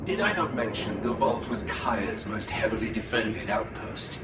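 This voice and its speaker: Sly Eldar schemer, cavernous echo. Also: male voice, lowish voice, sing-song, loud music behind the voice. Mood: smooth, cunning. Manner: measured, grandiose, insinuating. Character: sly male Eldar schemer, cold, haughty spymaster. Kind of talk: video game cut-scene acting. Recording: cavernous echo with long reverb.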